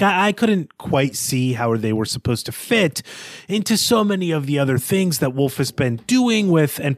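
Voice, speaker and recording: A man's voice: mid-range voice, dry recording, clean studio sound. A man co-hosting a podcast, discussing a book in a casual, self-correcting and wry way. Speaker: podcast co-host.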